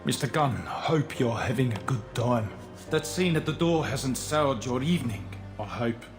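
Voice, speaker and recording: An actor playing a suave, self-important crime boss in a video game, with a pompous, smooth-talking manner. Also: male voice, medium pitch, animated, normal speed, close to an everyday voice. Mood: smooth, courteous. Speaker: villain character in a video game. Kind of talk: dramatic scripted game dialogue. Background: background music.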